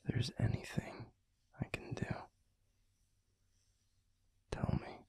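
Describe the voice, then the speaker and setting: A man playing a tender, protective werewolf boyfriend in an intimate ASMR roleplay, speaking in a breathy, gravelly, soothing whisper. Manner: soothing, slow, gently coaxing. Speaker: audio roleplay voice actor.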